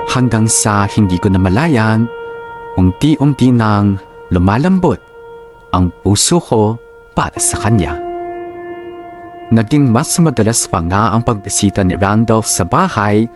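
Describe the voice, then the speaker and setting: A man's voice: fairly low pitch, darkish tone. A man reading a scripted first-person story as the narrator of a drama. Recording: music under the voice. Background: background music.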